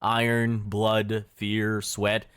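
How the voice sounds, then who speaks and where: A man reading scripted second-person dramatic narration in a grim, measured storytelling manner. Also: on the low side, monotone, a bit bright, clean tone, dry recording.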